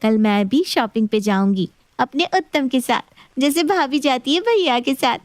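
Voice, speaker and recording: A woman's voice: high-pitched, expressive, normal speed, no room sound. A woman playing a naive, earnest young daughter-in-law in a dramatized story. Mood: eager, scheming. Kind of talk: animated story dialogue.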